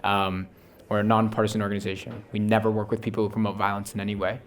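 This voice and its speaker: Fast-talking young founder pitching. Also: male voice, level tone. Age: young adult. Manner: rapid, punctuates with "right?". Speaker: startup founder on a panel. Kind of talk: fast, enthusiastic pitch talk. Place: conference stage before an audience.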